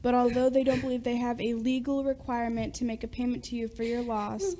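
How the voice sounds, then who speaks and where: Young woman acting high-voiced, formal company messenger. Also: level tone, warm tone, slight background noise, close to an everyday voice. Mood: formal, apologetic. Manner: formal, measured, deferential. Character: formal, apologetic company messenger bearing condolences. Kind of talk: school radio play acting.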